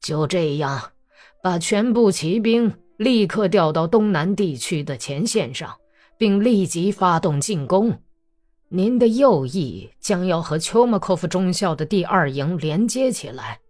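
A woman's voice: on the low side, expressive, a little slow, a little mellow. A woman acting out an irate, domineering old male general in an audiobook, imperious and scolding, with music behind. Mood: composed, commanding. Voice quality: hoarse when angry.

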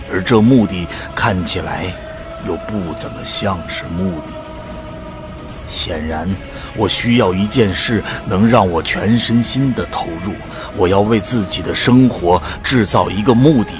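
A man acts a brooding first-person narrator in an audio drama. His deep voice is unhurried and solemn, set over clearly audible music. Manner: measured, solemn, reflective. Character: brooding male volunteer telling his own story. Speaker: narrator and lead actor.

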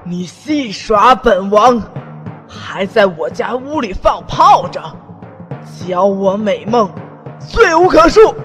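A man's voice: sits high, very animated, slow pace, fairly bright tone, exaggerated voice. A man playing a boastful, hot-tempered demon king who rants pompously, in a fan audio drama. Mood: commanding. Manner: pompous, ranting, indignant. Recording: long hall reverb with music.

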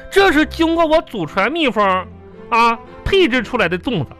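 Casting: self-important, grumbling eatery boss, Northeastern Mandarin, comic radio play, wildly animated pitch over background music. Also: male voice, moderate pace, full character voice. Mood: boastful. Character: blustering, stingy, scheming male boss of a small eatery. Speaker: comedy radio show performer. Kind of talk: comic radio drama acting. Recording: music playing behind the voice.

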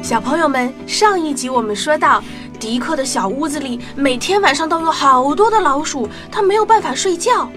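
A woman's voice: sits high, lively intonation, normal speed, few pauses, over music. A woman narrating a scripted children's story, expressively addressing young listeners.

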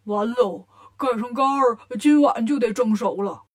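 A woman's voice: fairly high pitch, a little slow. A woman voicing a simple, gloomy male pig disciple from a children's story, grumbling in a plaintive drawl. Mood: resigned.

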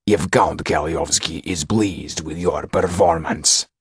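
A man's voice: low voice, slow pace, husky, no echo, studio quality, full character voice. A man voicing a menacing, haughty steward in a curt, cold, commanding manner for a storybook.

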